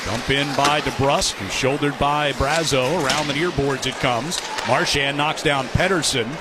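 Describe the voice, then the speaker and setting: A man's voice: medium pitch, normal speed. A man doing live radio hockey play-by-play, describing the action in clipped phrases. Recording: noisy live arena broadcast. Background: loud arena noise.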